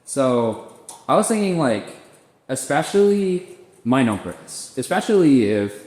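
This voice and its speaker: A young man hosting a podcast, thinking aloud in relaxed casual chat with lots of fillers. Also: mid-range voice, a little slow. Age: young adult. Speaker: podcast host. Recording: echoey room with long reverb.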